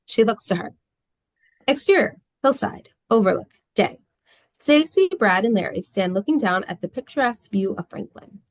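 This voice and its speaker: A woman's voice: mid-range voice, animated, normal speed, dry recording. A woman narrating the stage directions of a screenplay at a table read.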